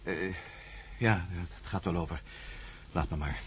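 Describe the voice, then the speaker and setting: Low-voiced, impatient husband. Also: male voice, a little hiss or hum. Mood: weary, distracted. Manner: impatient, muttering, quick to grumble. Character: headstrong, irritable husband and amateur investigator of ancient signs. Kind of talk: radio drama acting.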